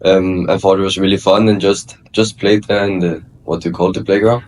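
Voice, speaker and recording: A Danish man of twenty-one, a college soccer player talking as a podcast guest in a relaxed, hesitant way with many fillers. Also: deep voice, a little fast, a little hiss or hum. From Denmark. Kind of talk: casual interview chat.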